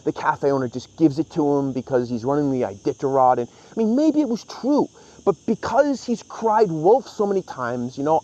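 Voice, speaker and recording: Man casually reviewing, slightly high voice. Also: dark tone, highs cut off. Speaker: book reviewer and host. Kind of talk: casual, opinionated review talk.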